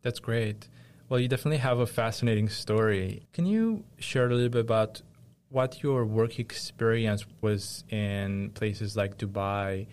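A man hosts a conversational interview. His voice is on the low side, and he builds his questions deliberately, sounding attentive to his guest. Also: darkish tone, clean tone. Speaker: interviewer, host.

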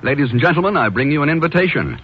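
A man with an American accent announcing and pitching sponsors in a polished, emphatic 1950s radio style. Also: expressive, very fast, dull audio. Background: music.

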